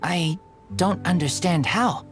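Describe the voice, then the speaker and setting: A man voicing a terse, earnest feral teenage boy in a video game, speaking in halting, broken, clipped sentences. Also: high-pitched, over music. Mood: puzzled, trusting. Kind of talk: game voice acting.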